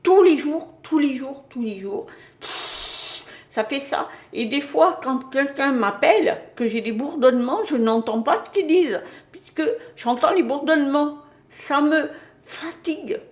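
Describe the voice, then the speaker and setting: A woman's voice: high-pitched, animated, measured pace. Woman chatting about spiritual stuff, lots of euhs and restarts, roomy echo. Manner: hesitant, many fillers and restarts. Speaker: spiritual channel host. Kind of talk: casual spiritual talk to viewers.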